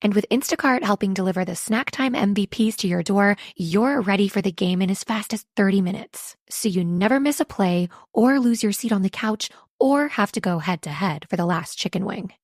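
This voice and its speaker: A woman's voice: mid-range voice, expressive, moderate pace, few pauses, darkish tone, no echo, clean studio sound. A woman doing a scripted advertisement voice-over. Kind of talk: scripted commercial read.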